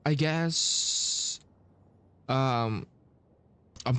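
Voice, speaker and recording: A man giving casual reaction commentary while playing a story game, halting and earnest as he searches for words. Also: on the low side, clear, resonant tone.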